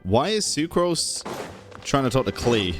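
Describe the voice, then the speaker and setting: A man's voice: mid-range voice, big pitch swings, measured pace, over music. A man casually reacting to a game on stream, joking, chatty and profane.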